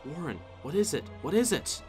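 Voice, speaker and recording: A man voicing a frantic, horrified man calling out loudly to his friend in a horror story. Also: on the high side, sing-song, moderate pace, pitched above the performer's own voice. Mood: frantic alarm. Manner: loud, frantic questioning. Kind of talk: dramatic horror story reading. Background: background music.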